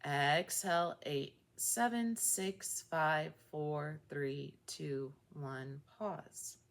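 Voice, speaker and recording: Slow, low-voiced woman guiding meditation. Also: creaky. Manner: slow, calm counting of breaths. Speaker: meditation guide. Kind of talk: guided breathing meditation. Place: meeting room of a recovery group.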